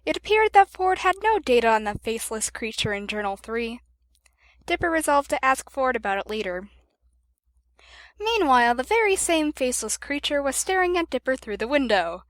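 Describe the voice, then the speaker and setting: Female narrator, high voice, animated intonation, dry sound, scripted fan fiction reading. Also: moderate pace, no echo.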